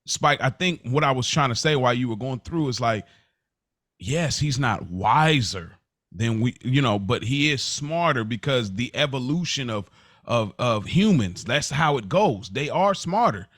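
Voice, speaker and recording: A man with a medium-pitched voice talks through his view as a podcast co-host. He is earnest and halting, circling back to restate his point in casual speech. The recording is dry and close. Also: lively intonation, a bit bright, dry recording.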